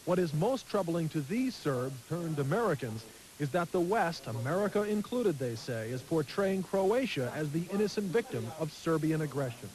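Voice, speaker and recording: A man's voice: on the high side, expressive, a little mellow, rough recording. Man reporting in a field news piece. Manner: measured, formal delivery.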